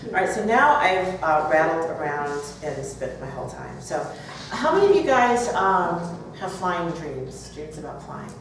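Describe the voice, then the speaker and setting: A woman's voice: deep voice, sing-song, unhurried, hardly any pauses. A woman author speaking and reading aloud at a live event. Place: bookstore, audience event. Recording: roomy, distant, noisy live event recording.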